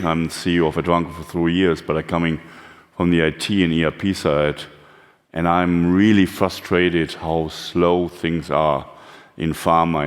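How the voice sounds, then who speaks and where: A man with a German accent, a company chief giving a blunt, candid conference talk with fillers and non-native phrasing. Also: sits low. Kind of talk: conference presentation. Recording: long hall reverb.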